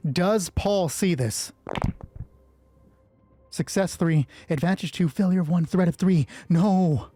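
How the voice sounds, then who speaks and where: A game master running a solo tabletop roleplay, thinking aloud and narrating in a sweary, excitable way. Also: medium pitch, no room sound.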